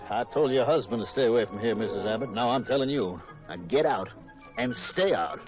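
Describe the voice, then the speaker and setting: An actor playing a hostile used car dealer in a 1950s radio mystery, curt and threatening. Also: male voice, medium pitch, animated, fairly quick, close to an everyday voice. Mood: angry, menacing. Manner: gruff, curt. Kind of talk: 1950s radio drama acting. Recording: muffled vintage radio, music behind.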